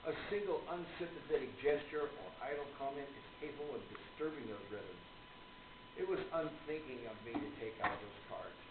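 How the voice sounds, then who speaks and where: A man playing an earnest, genteel older gentleman author in a radio play, speaking in a formal, lecturing manner. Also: mid-range voice, animated, normal speed, lightly acted. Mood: courteous, earnest. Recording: lo-fi, echoey room, noisy.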